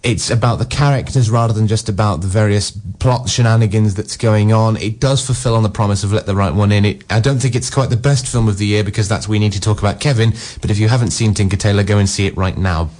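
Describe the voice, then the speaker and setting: Low-ish voiced bloke reviewing films on radio, groggy, wry and opinionated, lots of "you know". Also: male voice, fast talker, hardly any pauses, darkish tone. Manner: opinionated, wry, with frequent filler words. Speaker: film critic co-host. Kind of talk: casual radio film reviews.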